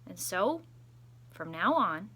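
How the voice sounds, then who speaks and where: Lady doing storytime for school kids, bright voice, expressive, chats in between. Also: fairly low pitch, slow, slightly rough. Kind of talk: expressive children's picture book read-aloud with chatty asides.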